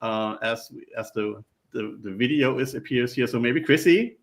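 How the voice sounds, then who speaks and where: A man's voice: medium pitch, moderate pace. Man, livestream host, video call mic, friendly rambling delivery, lots of restarts. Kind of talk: casual livestream hosting.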